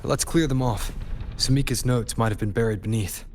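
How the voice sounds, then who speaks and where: A man voicing a calm, steady young male adventurer in a video game, composed and matter-of-fact. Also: medium pitch, normal speed, a little hiss or hum, lightly acted. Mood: decisive.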